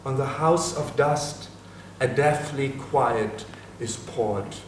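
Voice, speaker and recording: Casting: man as a dead soul describing the underworld, slow and grave, heard from afar in an echoey hall. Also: medium pitch, lightly acted. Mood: ominous. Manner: slow, ominous recital. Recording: echoey hall from a distance, faint noise.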